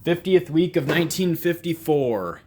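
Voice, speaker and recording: A man's voice: a little high, lively intonation, normal speed, a little mellow. A man giving casual, unscripted, hands-on commentary as a vlog host, rambling and self-deprecating, recorded in a basement workspace. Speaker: host of an electronics repair vlog.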